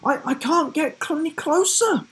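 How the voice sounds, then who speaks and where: A man's voice: very high voice, moderate pace, fairly bright tone, voice pushed up. A man voicing a plucky, sardonic girl adventurer in a children's storybook, with sassy exclamations. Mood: strained.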